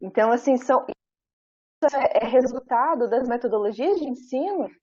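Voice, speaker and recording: A Brazilian woman professor giving an academic talk over a video call, speaking in a measured, thoughtful way with frequent pauses and tag questions. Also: fairly high pitch, animated, a little mellow, breathy edge. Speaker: professor presenting her research. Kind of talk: academic talk at an online book launch. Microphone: video call, camera off. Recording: muffled video call audio.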